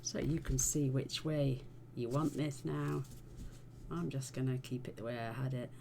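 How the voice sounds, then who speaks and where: A woman talks through a card-making tutorial in a chatty, homely way, her low voice gravelly and a little croaky. She is recorded at home with clear background noise. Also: steady tone, moderate pace. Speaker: card-making channel host. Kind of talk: casual crafting tutorial.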